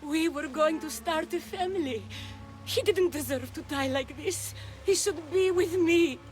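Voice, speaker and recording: A woman's voice: sits high, measured pace, soft background music. An actress playing a grieving, bitter young woman in a video game, accusing and anguished. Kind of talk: dramatic video game acting.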